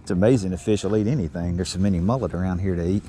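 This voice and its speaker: A man's voice: deep voice, racing. A middle-aged man chatting casually and folksily as he fishes from a small boat, talking into an action camera. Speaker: fishing vlogger. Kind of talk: casual chat while fishing. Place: outdoors on a small boat on calm water. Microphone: body-worn action camera. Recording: steady noise from outdoor action camera.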